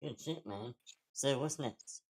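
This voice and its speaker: A man's voice: mid-range voice, slow. A man playing a self-deprecating, anxious young man in a casual screenplay table read. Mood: casual, friendly.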